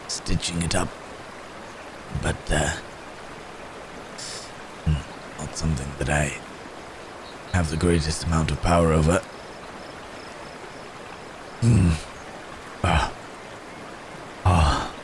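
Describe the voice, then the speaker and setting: A man playing a wounded, gentle cursed werewolf in an ASMR roleplay, halting and hesitant, with pained sighs and hums. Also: rumbling low, noisy background. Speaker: audio roleplay performer.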